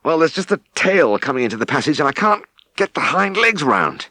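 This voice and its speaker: A man's voice: fairly high pitch, big pitch swings, fairly quick, pushed and bright, no room sound, pitched above the performer's own voice. A man voicing a good-natured, plain-spoken working farmer in an audiobook. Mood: breathless, exhausted.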